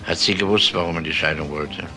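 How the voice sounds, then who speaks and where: An actor playing a probing, matter-of-fact police detective in a crime radio drama. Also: male voice, low-pitched, steady tone, quick pace, over music, close to an everyday voice. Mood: confronting. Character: male police detective, probing and blunt interrogator. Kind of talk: German TV-crime style radio drama acting.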